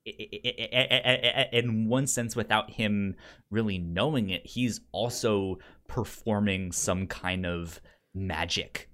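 Male co-host, slightly low pitch, stutters on syllables, conversational, thinks aloud haltingly. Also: a bit bright. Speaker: podcast co-host discussing a film. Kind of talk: casual conversational film discussion.

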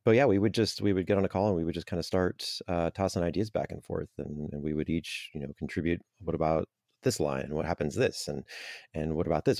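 A man's voice: deep voice, a little mellow, no room sound, clean studio sound. A man guest on a podcast interview about making audio dramas, talking casually, rambling with many fillers and chuckles.

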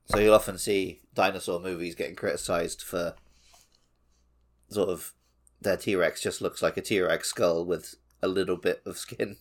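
A man chatting casually as a podcast co-host, joking and getting animated in an informal way. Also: low voice, a little slow. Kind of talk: casual chat.

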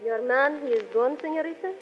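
High-voiced cafe flirt, played by an actress. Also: female voice, a little fast, natural voice. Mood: sympathetic. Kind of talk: 1930s radio acting. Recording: muffled 1930s radio recording, faint music.

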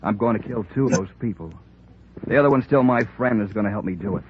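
A man's voice: fairly low pitch, quick pace, phone-quality sound, close to an everyday voice. A man playing a bitter, sardonic ex-convict who threatens coolly, in a 1950s radio crime drama. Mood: threatening. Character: menacing, bitter male ex-convict bent on revenge. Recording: thin, noisy vintage radio recording.

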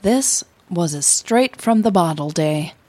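A woman podcast host reading scripted audio drama narration in a measured, intimate way with deliberate pauses. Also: on the low side, animated, darkish tone, no room sound.

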